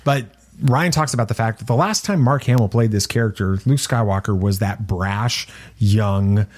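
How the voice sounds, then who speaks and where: A man's voice: lowish voice, moderate pace, darkish tone. A man hosting a casual panel podcast, leading the discussion and repeating words for emphasis. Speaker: podcast host.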